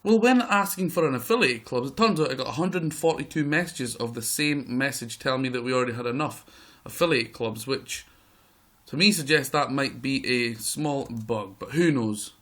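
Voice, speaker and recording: A man with a croaky voice giving excitable live play-by-play commentary over a football management game. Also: mid-range voice, moderate pace. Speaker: gaming video host.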